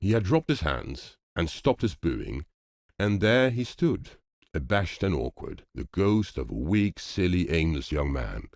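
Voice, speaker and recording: A man narrating a classic ghost story as an audiobook, in an expressive storytelling manner. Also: low-pitched, measured pace, gravelly, no room sound, clean studio sound.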